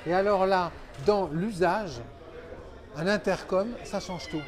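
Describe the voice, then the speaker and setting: A man's voice: high-pitched, steady tone, slow pace, some chatter behind. A man hosting a product interview, speaking in an enthusiastic, exclamatory way with short interjections. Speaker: interviewer, show host.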